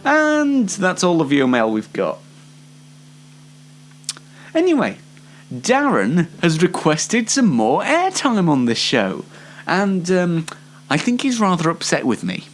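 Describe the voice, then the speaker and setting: A man's voice: high voice, wildly swooping pitch, slow pace, pauses often, faint music behind. A man hosting an online variety show in a chatty, playfully theatrical, mock-dramatic way. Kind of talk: chatty scripted-style presenting with ad-libs.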